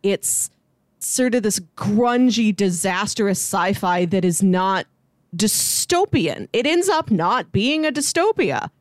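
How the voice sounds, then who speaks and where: A woman's voice: medium pitch, animated, slow pace, dry recording. A woman co-hosting a podcast, casually and enthusiastically discussing film. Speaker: podcast co-host.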